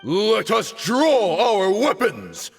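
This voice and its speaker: A fanatical desert commander from a video game, proud and doomed. His gravelly voice moves slowly and grandly through wide swings of pitch, like an oration. It rings in long reverb with music behind. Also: huge pitch swings, full character voice. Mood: rousing battle cry. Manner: grandiose, oratorical. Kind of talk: dramatic video game voice acting.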